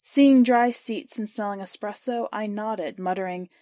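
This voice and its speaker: A woman reading a first-person literary short story aloud for a podcast, in a measured, clear storytelling voice. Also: mid-range voice, warm tone, dry recording, studio quality. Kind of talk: scripted narration of literary fiction.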